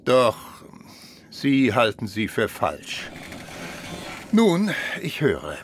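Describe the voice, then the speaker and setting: Animated, commanding police chief, male. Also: medium pitch, extremely slow, slight background noise, full character voice. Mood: surprised, dawning realisation. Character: authoritative, impatient senior police official. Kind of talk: German radio drama acting.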